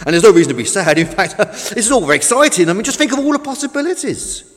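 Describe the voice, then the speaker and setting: Man doing a high-pitched friendly tugboat, long reverb. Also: big pitch swings, normal speed. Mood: encouraging. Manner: earnest, encouraging. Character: friendly, cheerful, slightly nervous male tugboat.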